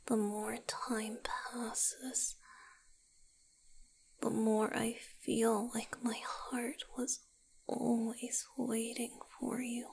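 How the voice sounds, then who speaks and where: A woman voicing a gentle, lovestruck, weakened male vampire boyfriend in a romantic ASMR roleplay, in a soft breathy whisper with halting, tender delivery. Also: fairly high pitch, little pitch movement, a little hiss or hum, heavily acted. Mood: overwhelmed, tender.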